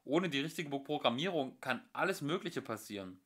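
A man voicing a brash, irritable male ship captain in an audiobook, snapping loudly. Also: medium pitch, expressive, normal speed. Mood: snappish. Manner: snapping, gruff shouting.

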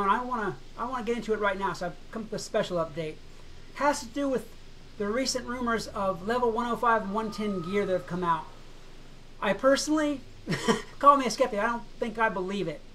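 Slightly hoarse chatty woman gamer. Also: fairly high pitch, fairly bright tone, slight background noise, rough recording. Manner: chatty, skeptical, laughs often. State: slightly hoarse, frog in throat. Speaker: gaming YouTuber. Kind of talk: casual game commentary.

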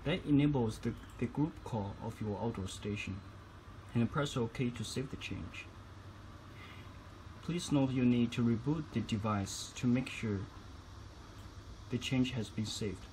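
A man's voice: mid-range voice, measured pace, long pauses, a little mellow, slight background noise, low-quality recording. A man giving instructional tutorial narration as a product demonstrator, phrasing each step carefully.

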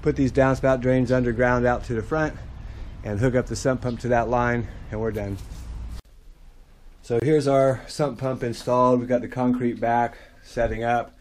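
A man's voice: mid-range voice, steady tone, normal speed, darkish tone. A middle-aged man explains a drainage job while he works in a dug-out basement, speaking plainly and practically. He is recorded on a head-mounted camera, with a faint hiss of noise underneath his voice. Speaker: drainage contractor. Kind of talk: on-site how-to walkthrough. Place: dug-out basement crawl space. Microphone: head-mounted action camera.

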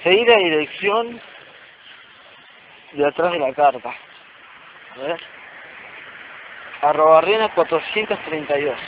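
A man's voice: on the high side, lively intonation, measured pace, long pauses. An amateur man actor playing a suspicious man seeking the truth about his brother, with an Argentine accent, in a radio play. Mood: curious. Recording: noisy, muffled amateur radio drama recording.

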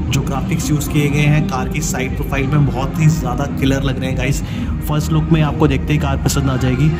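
A man giving a casual walkaround car review at a busy indoor auto show, chatty, mixing English words into Hindi. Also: mid-range voice. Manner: chatty, mixes English words into Hindi, frequent "guys" and "yaar".

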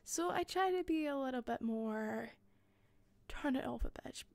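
A woman with a softened Scottish accent playing an attentive hostess in a roleplay, speaking softly into a close mic with frequent giggles and whispers. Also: sits high, animated, moderate pace, vocal fry, no room sound. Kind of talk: listener-directed roleplay.

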